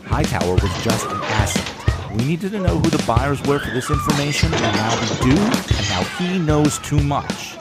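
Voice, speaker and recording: Scheming spymaster villain: very low, menacing, measured, audio drama over loud music. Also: female voice, big pitch swings. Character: cold, scheming senior spymaster, a treacherous villain.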